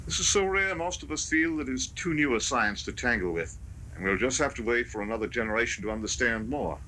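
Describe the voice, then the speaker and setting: A man's voice: mid-range voice, animated, a little fast, pushed and bright. A man playing a grave, protective doctor in a 1970s radio drama, with an earnest, emphatic manner. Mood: grave, reassuring. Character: grave, protective doctor guarding his patient's secret. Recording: noisy 1970s live radio tape.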